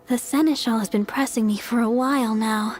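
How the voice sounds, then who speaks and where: A woman's voice: fairly high pitch, fairly even intonation, fairly quick, music in the background, close to an everyday voice. A woman playing a devout, earnest young deaconess who is easily flustered, in a video game. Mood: polite, earnest. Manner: polite and earnest, exclamatory. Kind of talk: video game character acting.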